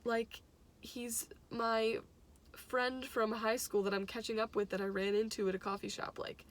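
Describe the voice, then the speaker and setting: A young adult woman casually telling a personal story in an animated, giggly way, acting out bits of dialogue as she goes. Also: a little high, a little slow. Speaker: teacher and music fan telling a personal anecdote.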